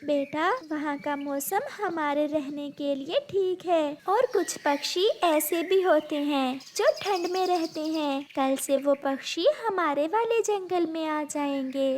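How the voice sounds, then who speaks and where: Woman voicing a high-pitched motherly cartoon sparrow. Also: slow, hardly any pauses, slight background noise. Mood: patient. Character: kind, sensible mother sparrow, patient and reassuring. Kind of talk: Hindi children's cartoon story dubbing.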